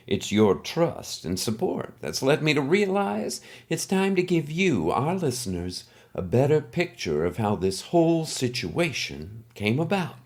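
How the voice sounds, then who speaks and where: Podcast host character, played by a man: eccentric, theatrical, folksy, wry, with creaky voice and lively intonation. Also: mid-range voice. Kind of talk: scripted comedic podcast narration.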